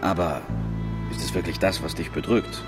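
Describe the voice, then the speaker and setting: A man playing a calm, caring imam in an intimate radio drama scene, soothing and gently probing. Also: deep voice, moderate pace, lightly acted. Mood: calm, concerned. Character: calm, caring male imam counselling a worshipper. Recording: music behind.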